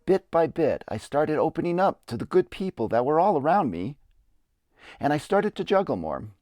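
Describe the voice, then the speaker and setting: A man's voice: medium pitch, mellow, dry recording, clean studio sound. A man giving a reflective, earnest dramatic reading of his own memoir. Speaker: memoirist narrator. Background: music.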